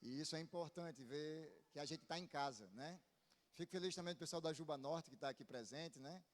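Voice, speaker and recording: High-voiced northeastern Brazilian preacher in reverberant hall. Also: male voice, a little fast. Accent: northeastern Brazilian, from Paraíba. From Paraíba, northeastern Brazil. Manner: informal, often seeks response with short tag questions. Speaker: visiting Baptist pastor preaching. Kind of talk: sermon to a congregation. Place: church pulpit, amplified in a large hall. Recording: long reverberant hall sound.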